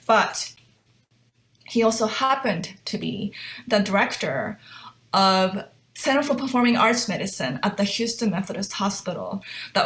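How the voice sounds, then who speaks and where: A middle-aged woman from Japan, a pianist, telling her story in a relaxed interview with light laughs between thoughts. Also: medium pitch. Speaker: guest pianist.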